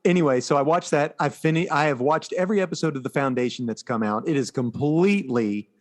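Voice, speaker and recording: A man's voice: a little high, fast talker. An American man co-hosting a podcast, chatting casually and with enthusiasm about pop culture. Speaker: podcast co-host.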